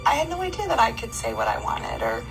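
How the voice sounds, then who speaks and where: A woman speaking haltingly in a reality show confessional interview. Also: high-pitched, wildly swooping pitch, gravelly, over music. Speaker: reality show cast member.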